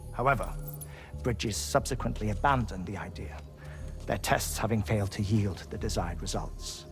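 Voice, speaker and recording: An actor playing a courteous, reflective older investigator in a video game, speaking in measured, formal, wordy sentences. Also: male voice, fairly low pitch, vocal fry. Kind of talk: cinematic game dialogue with long exposition.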